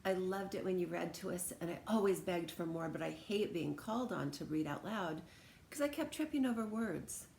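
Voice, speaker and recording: A woman reading a children's story aloud in an animated, expressive way with dramatic emphasis. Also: lowish voice, moderate pace, creaky. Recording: roomy echo, background noise.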